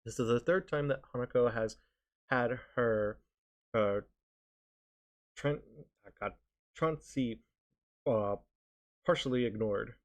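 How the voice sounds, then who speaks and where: A man narrating a first-person story aloud, reading haltingly with small stumbles. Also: mid-range voice. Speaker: streamer reading a visual novel. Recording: clearly noisy background.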